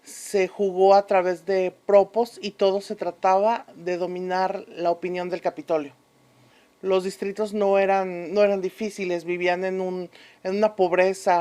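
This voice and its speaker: A woman's voice: fairly low pitch, darkish tone, close to an everyday voice. A woman playing a learned, earnest historian who explains things formally as a guest in a radio drama. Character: learned, earnest female historian, a convinced researcher. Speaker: expert guest on a history programme.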